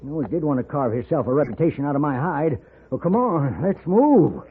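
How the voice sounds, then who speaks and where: An actor playing a cantankerous, wounded old outlaw with an American accent, grumbling and stammering in a folksy way in a 1950s radio Western. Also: male voice, on the high side, very animated, fairly quick. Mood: crotchety, defiant. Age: older. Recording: noisy, phone-like 1950s radio recording.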